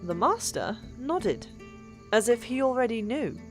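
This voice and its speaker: A woman narrating a fantasy audiobook in an expressive, deliberate storytelling manner. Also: fairly high pitch. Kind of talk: dramatic scripted fiction narration. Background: background music.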